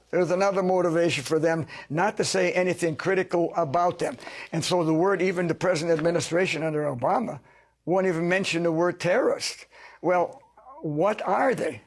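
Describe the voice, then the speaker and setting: An older man answering interview questions as a sociologist, emphatic and lecturing, asking himself rhetorical questions. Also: a little high.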